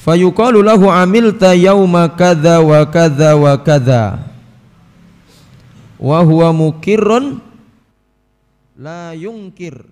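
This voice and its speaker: A man with a Javanese accent giving a religious study lecture, reading Arabic text and explaining it in a relaxed, chatty way to his audience, often clearing his throat. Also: on the high side, takes it slowly, pauses often, big-room sound. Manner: mixes Arabic recitation with casual explanation, addresses audience as Bapak Ibu. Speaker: ustadz teaching a hadith book.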